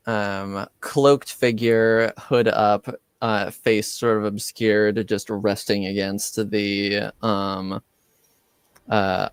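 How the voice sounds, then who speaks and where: Slow, smooth-voiced man as game master. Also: on the low side, dry recording. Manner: hesitant, full of pauses, unhurried. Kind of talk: improvised tabletop game narration.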